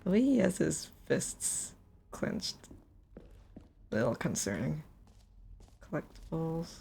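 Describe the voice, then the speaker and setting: Slow-talking woman streamer with creaky voice. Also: low voice, very animated, dark tone, a little hiss or hum. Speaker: livestreamer. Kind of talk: casual game livestream commentary.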